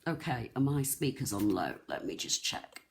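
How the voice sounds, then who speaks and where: Croaky, soft-spoken beading tutor. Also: medium pitch, very animated, normal speed, background noise. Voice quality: soft, not loud. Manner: chatty, rambling, lots of ums and restarts. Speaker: craft shop tutor. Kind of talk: live-streamed beading tutorial.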